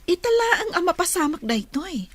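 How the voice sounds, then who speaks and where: Worried Ilocano mother, high lively voice, questioning radio drama delivery. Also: brisk, dry recording. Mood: puzzled, troubled.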